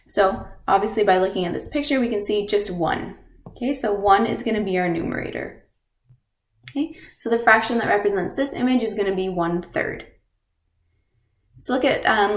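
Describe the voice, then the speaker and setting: A woman teacher with a stuffy nose from a cold, patiently explaining fractions step by step and often checking in with "okay?". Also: medium pitch. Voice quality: nasal. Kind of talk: recorded math lesson. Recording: echoey room.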